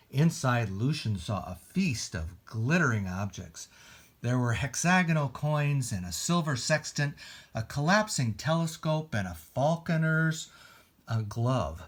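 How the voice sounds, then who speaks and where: Male storytime reader for kids, lively and swooping intonation, slightly husky tone. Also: mid-range voice. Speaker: library storytime host and reader. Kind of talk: expressive read-aloud storytelling for children.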